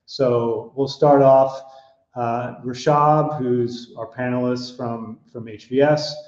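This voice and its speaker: A middle-aged American man moderating an online panel over a video call. His voice is mellow and relaxed, and he stumbles through restarts and fillers. The audio is dull and echoey. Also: medium pitch, slow. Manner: hesitant, many fillers and restarts. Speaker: webinar host and panel moderator. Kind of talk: online webinar presentation.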